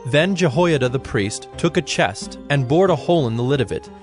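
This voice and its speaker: A man's voice: medium pitch, lively intonation, brisk. Solemn male scripture narrator over faint music.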